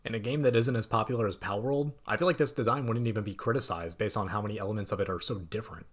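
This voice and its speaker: Man, fairly low voice, scripted video essay narration, measured, dry asides, close mic.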